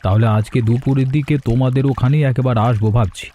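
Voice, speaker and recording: Man doing a mellow friendly fisherman neighbor, cartoon. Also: mid-range voice, steady tone, fast talker, close to an everyday voice. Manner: friendly, grateful. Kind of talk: cartoon voice acting.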